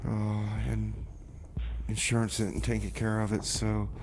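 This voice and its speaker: A man hosting a community radio music show in a casual, halting style with comic banter, often repeating his words. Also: fairly low pitch, monotone, darkish tone, slight background noise.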